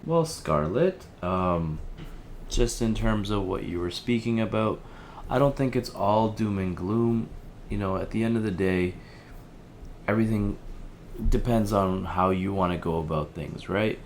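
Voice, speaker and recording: A man acting as a gentle, awkward helpline operator in an audio drama, speaking in a hesitant, casual, placating way. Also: on the low side, a little slow, a little hiss or hum. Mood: easygoing, hesitant. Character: gentle, awkward, fatalistic male helpline worker.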